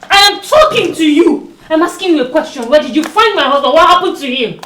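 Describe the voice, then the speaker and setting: A woman's voice: on the high side, lively intonation, moderate pace, echoey room, slight background noise, lightly acted. An actress playing a young wife who demands answers and defends her marriage.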